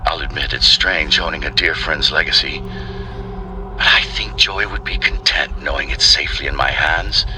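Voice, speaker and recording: A man playing a solemn, brooding, self-assured studio owner in a video game audio log, measured and theatrical. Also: a bass voice, over music, poor audio. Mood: proud, wistful.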